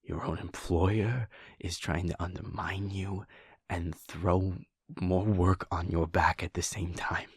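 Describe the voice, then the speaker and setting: A caring werewolf boyfriend, played by a man, speaks in a soft, half-whispered audio roleplay. His voice is deep and very husky, with a gravelly edge. He takes his time, slow and close in a dry, dead room. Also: little pitch movement, dry recording, heavily acted. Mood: tender, worried. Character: caring young adult male werewolf boyfriend.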